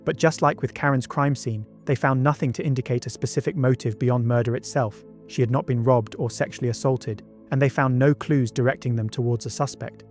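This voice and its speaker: Man, documentary narrator, mid-pitched voice, scripted true-crime storytelling, conversational asides, music behind. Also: fairly even intonation, brisk, hardly any pauses, darkish tone. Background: background music.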